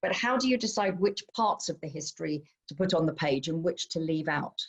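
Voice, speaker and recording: A woman's voice: low-pitched, lively intonation, a little fast. A middle-aged woman hosting a literary panel, chatty as she passes on questions from the audience. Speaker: host chairing an author question session. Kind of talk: relaxed literary panel moderating.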